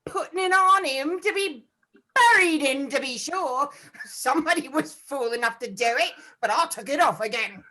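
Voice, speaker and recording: A woman's voice: sits high, animated, normal speed, pushed and bright. A woman playing a brazen, gloating charwoman and thief in a radio play, with gleefully defiant delivery. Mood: scornful gloating.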